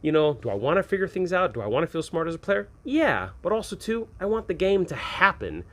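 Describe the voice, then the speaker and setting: A man's voice: fairly high pitch, big pitch swings, a little fast, a bit bright. Emphatic man giving informal advice. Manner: conversational, emphatic, repeats points. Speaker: video host and game master. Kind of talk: informal advice talk to viewers about running tabletop games.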